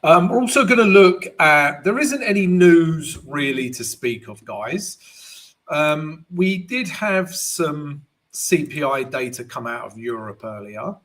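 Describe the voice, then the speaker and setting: Croaky man hosting a trading stream. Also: fairly high pitch, lively intonation, takes it slowly, fairly bright tone. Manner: chatty, addresses viewers as guys, frequent "right?" tags. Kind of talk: live-stream market analysis.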